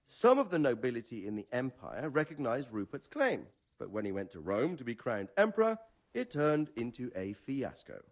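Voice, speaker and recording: An actor playing a knowledgeable older medieval lord in a video game, explaining things in a measured way. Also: male voice, mid-range voice, wildly swooping pitch. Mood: measured, explanatory. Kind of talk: video game dramatic dialogue.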